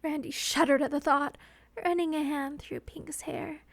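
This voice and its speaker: A woman narrating a scripted romantic story in an expressive, theatrical storytelling manner. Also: sits high, normal speed, no echo. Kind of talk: scripted dramatic fan fiction narration.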